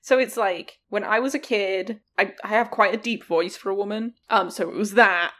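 A woman's voice: mid-range voice, moderate pace, a bit bright. A woman co-hosting a podcast, talking candidly and laughing often. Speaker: podcast co-host. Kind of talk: casual chat.

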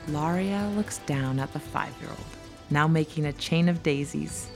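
A woman narrating an audio drama in a measured, lyrical storytelling manner. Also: low voice, gravelly, over music.

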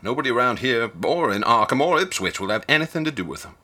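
An actor playing an older small-town ticket agent in a radio drama, a wary gossip with rambling, rustic speech. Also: male voice, mid-range voice, expressive, quick pace, pushed and bright. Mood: ominous, wary.

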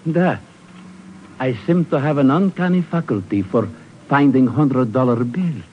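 An actor playing a sly, flattering Russian newsman, formal and insinuating, in a 1950s radio drama. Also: mid-range voice, measured pace, natural voice. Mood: sly flattery. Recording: hissy, dull 1950s radio recording.

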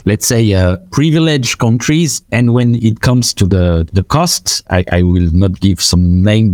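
A man runs a panel discussion, asking questions and sharing views in a low, mellow voice. His delivery is halting, full of "uh" pauses and restarts. The sound is dry and close. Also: dry recording. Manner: hesitant, frequent "uh" fillers. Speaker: panel moderator. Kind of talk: moderating a panel.

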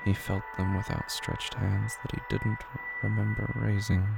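A man narrating scripted horror slowly, in a hushed, deliberate, ominous manner. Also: on the low side, little pitch movement, gravelly, over loud music. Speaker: horror fiction podcast narrator.

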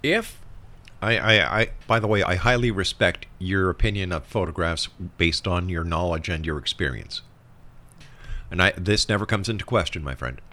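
A man's voice: low voice, normal speed, bright, forceful tone. A man hosting a talk interview, putting probing questions emphatically and conversationally to a guest he addresses as a friend. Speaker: interview show host.

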